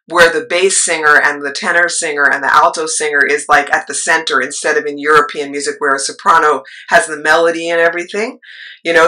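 A middle-aged woman with a low voice, a choir leader and actress, telling her story in a rambling interview style with asides. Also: pushed and bright, rough recording. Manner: rambling, with asides and trailing thoughts.

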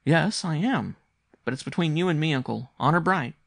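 Storybook young boy, played by a man: raised pitch, grave, earnest, insistent, very dry close sound. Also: animated, moderate pace, creaky, a bit bright, dry recording, heavily acted. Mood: earnest, serene. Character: earnest, intense young boy, secretive gambler.

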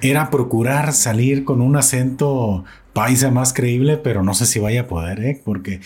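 A man's voice: mid-range voice, moderate pace, a little mellow, slight background noise. A young man from Mexico hosting a casual podcast chat, talkative and fond of trying out accents. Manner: chatty, playfully imitates accents. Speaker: podcast host.

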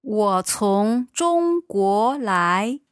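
A woman from China, a native Mandarin speaker, teaching on a podcast with deliberate model sentences. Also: mid-range voice, lively intonation, no echo, clean studio sound. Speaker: language teacher. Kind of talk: podcast language lesson.

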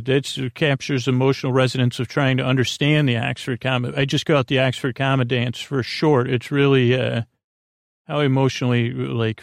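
A man hosting a bedtime podcast in a gravelly voice, meandering haltingly and often trailing off mid-thought. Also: medium pitch, no echo, studio quality. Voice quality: creaky, gravelly. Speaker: podcast host. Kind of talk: rambling bedtime monologue.

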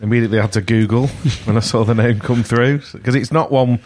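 A man's voice: mid-range voice, fairly even intonation, fairly quick, a little mellow, dry recording. A man co-presenting a casual podcast chat, making wry, joking asides.

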